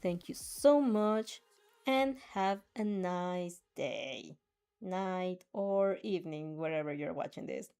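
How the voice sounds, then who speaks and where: A woman's voice: on the low side, animated, takes it slowly, a little mellow, smooth, soft background music. A young woman reading a fanfiction aloud and chatting casually with viewers in a giggly, rambling, easily flustered way. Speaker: fanfic reader and streamer. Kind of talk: reaction reading and casual chat.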